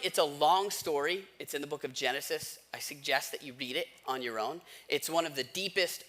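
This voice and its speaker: Man, American accent, fairly high pitch, bright forceful voice, informal sermon, wry asides, storytelling pastor. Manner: informal, wry asides, addresses listeners directly. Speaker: pastor preaching to a congregation.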